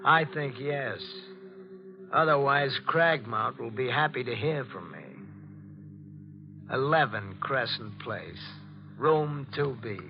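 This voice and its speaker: Oily menacing blackmailer, draggy delivery, old radio show, noisy muffled recording. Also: male voice, mid-range voice, animated. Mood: smug, threatening. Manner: slow, insinuating, mock-polite. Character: smugly menacing male night visitor, a blackmailer. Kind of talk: old-time radio suspense acting.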